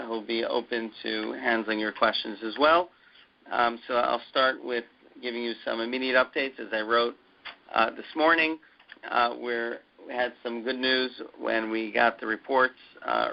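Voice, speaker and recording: A man giving an update on a conference call in a halting, hesitant way with many filler pauses, as a university president addressing his community. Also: mid-range voice, creaky. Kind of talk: conference call update, semi-scripted announcement. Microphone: conference phone call. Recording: dull muffled conference call audio.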